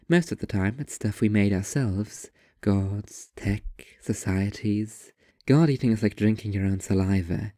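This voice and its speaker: A man's voice: lowish voice, mellow, no room sound, natural voice. A man voicing a sullen, pretentious young female writer in an audio story, curt, pompous and world-weary.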